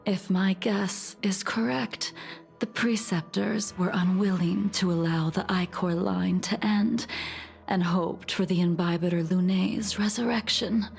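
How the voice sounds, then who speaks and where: A woman playing a solemn, weary woman who seeks to atone for her past sins, in a measured video game performance. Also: mid-range voice, steady tone, creaky, faint music behind. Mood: grave.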